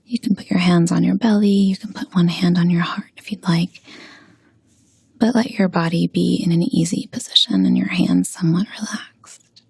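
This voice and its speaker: A woman leading a guided meditation with gentle spoken instruction, pausing slowly and soothingly in a breathy, husky voice. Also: on the low side, steady tone, dry recording.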